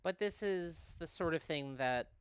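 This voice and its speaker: A man's voice: a little high, measured pace, clean tone, no room sound, close to an everyday voice. A man voicing a guarded but kindly male magic user in a fantasy visual novel. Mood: guarded, measured. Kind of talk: visual novel character reading. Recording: music behind the voice.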